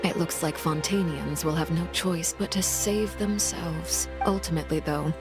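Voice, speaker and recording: A woman playing a poised, calculating diplomat and intelligence operative in a video game, measured, courteous and guarded. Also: low-pitched, fairly even intonation. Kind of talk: video game character acting. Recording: game soundtrack music behind voice.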